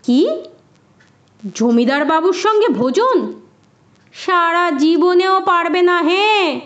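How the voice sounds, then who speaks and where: A woman's voice: extremely high pitch, lively intonation, slow pace, fairly bright tone, dull audio, voice pushed up, full character voice. A woman voicing a boastful, scoffing village shopkeeper man in a storybook tale.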